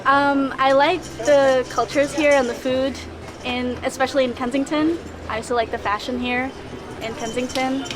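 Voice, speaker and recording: A woman's voice: sits high, steady tone, moderate pace. A woman answering a street interview, friendly and hesitant, with many "um"s. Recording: crowd and street noise behind. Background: crowd and street noise.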